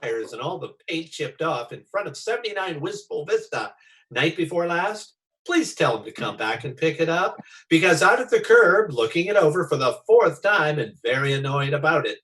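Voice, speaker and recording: A man's voice: a little high, big pitch swings, moderate pace. A man playing a polished, upbeat 1940s radio announcer, reading a script with emphatic salesmanship. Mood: wry announcing. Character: polished, upbeat male old-time radio announcer and pitchman. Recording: distant mic under loud music.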